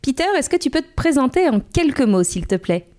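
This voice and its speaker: A woman hosting a career podcast, who reads a scripted intro and then asks the guest questions in a clear, measured way. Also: high-pitched, very animated, darkish tone. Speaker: podcast host and interviewer.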